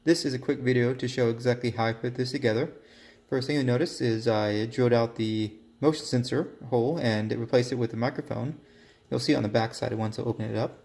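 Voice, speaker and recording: An American man giving a casual DIY demonstration of a hobby project, speaking in a hesitant, halting, informal way. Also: mid-range voice, fairly even intonation, darkish tone. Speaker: hobbyist maker presenting a project.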